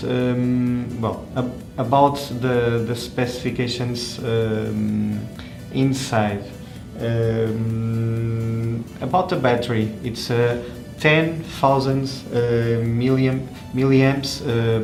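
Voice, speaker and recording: A man presents a product hands-on with a flat, unhurried delivery. He speaks haltingly, searching for words and repeating them as he goes. The sound is noticeably roomy. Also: medium pitch, soft background music. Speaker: tech reviewer presenting a phone. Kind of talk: unscripted hands-on product review.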